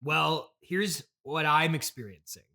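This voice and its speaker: Comedy podcast male actor as rich man's bratty son: sharp, bright, raised voice, whiny and mocking. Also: on the high side, measured pace, vocal fry, full character voice. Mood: whiny, aggrieved. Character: sulky, mocking schoolboy son of a trillionaire. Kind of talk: improvised comedy interview.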